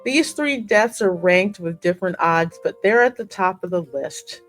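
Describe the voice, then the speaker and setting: Female game streamer, lowish voice, expressive read-aloud narration over background music. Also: normal speed. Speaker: gaming streamer reading the game heroine's part aloud. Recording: music running behind the voice.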